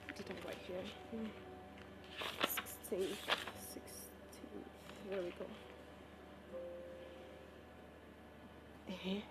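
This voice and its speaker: A woman's voice: mid-range voice, fairly even intonation, takes it slowly, loud music behind the voice, poor audio. An actress playing a busy, friendly office woman chatting with a male colleague in a stage play. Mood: puzzled.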